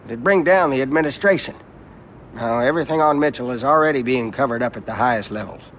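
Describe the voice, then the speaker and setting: An actor playing an indignant, worried senator tangled up with mobsters in a game cutscene, agitated and sardonic. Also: male voice, medium pitch, fast talker, a bit bright, slight background noise, natural voice. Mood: sardonic outrage. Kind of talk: video game cutscene acting.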